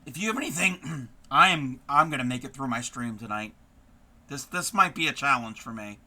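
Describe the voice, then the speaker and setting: A hoarse man who is losing his voice, hosting a casual live stream and chatting warmly with viewers by name, with many ums. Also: medium pitch, lively intonation, normal speed. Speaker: craft live-streamer host.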